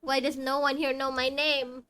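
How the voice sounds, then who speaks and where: A woman's voice: sits high, level tone, moderate pace, background noise. A woman streaming a video game with casual commentary, making wry asides and talking back to the characters. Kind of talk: casual live gameplay commentary. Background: game music.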